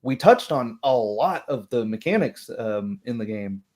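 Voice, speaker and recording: Man, casual group chat, explanatory, medium pitch, seeks agreement. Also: expressive, a little slow, darkish tone.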